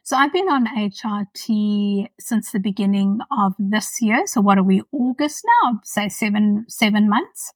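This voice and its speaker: A woman in her fifties with a South African accent, a podcast guest talking thoughtfully with many pauses. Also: medium pitch, dry recording, distant mic, low-quality recording. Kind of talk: casual interview.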